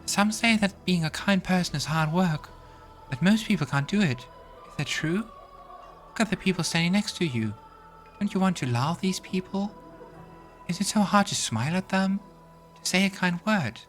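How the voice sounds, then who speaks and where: Guy doing a soft delicate elf girl preacher, gentle and earnest, pitched well above his own, music behind. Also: expressive, moderate pace. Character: frail young elf girl, gentle, kindly street preacher. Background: game music.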